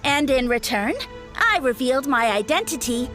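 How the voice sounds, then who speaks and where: High-pitched pompous mushroom creature. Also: female voice, animated, normal speed, a bit bright. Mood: haughty, grand. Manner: grandiose, haughty, theatrical speech. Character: pompous, boastful little mushroom creature posing as a mighty lord. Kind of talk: scripted video game voice acting. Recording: music behind the voice.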